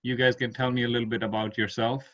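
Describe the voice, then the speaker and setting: A man hosts a relaxed interview, tossing out quick follow-up questions and light jokes. His voice sits mid-pitch, and the recording sounds dull and lo-fi. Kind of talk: casual interview.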